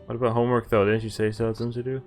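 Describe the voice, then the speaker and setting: A man's voice: medium pitch, level tone, racing, darkish tone. Man reading a game aloud over music. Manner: jokey, swears casually. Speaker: streamer reading a visual novel aloud. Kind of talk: playthrough reading dialogue aloud with casual reactions. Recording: music under the voice.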